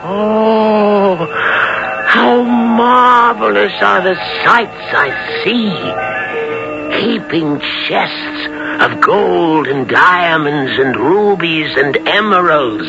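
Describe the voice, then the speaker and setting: Wheedling merchant, theatrical, higher-pitched, muffled old radio recording, music behind. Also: male voice, very slow, gravelly, full character voice. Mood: greedy, excited. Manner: theatrical, effusive, exclamatory. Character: greedy, wheedling merchant turned repentant blind beggar. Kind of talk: 1970s radio drama acting.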